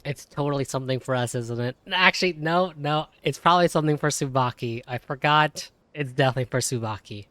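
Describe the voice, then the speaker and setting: A woman's voice: very deep voice, quick pace, few pauses, a bit bright, dry recording. A woman giving casual, playful live commentary on a game playthrough in slangy, reactive speech. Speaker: gaming content creator. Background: game music.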